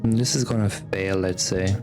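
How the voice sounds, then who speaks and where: A man's voice: low-pitched, steady tone, measured pace. A man streaming a video game and giving casual reaction commentary. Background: game music.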